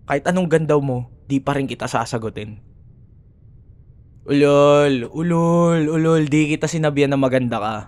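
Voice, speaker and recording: A man's voice: a little high, normal speed, a little mellow, natural voice. A young man with a Filipino accent acting a cocky, grudging student rival in an ASMR roleplay, teasing and sarcastic in casual banter. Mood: smug, teasing. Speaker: voice actor.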